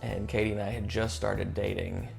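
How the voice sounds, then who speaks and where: A man with a low, heavily creaky voice reminisces casually about family times. He tells it as a halting anecdote, with music playing under his words. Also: little pitch movement. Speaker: family member telling a story.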